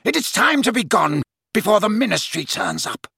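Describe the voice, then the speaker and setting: A furious male wizard, as an audiobook narrator puts him on, pushes his voice high and loud. He taunts and commands with sneering contempt, swinging widely in pitch, in a dry close recording. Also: sing-song, moderate pace, gravelly, slightly rough, no room sound, full character voice. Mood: commanding fury.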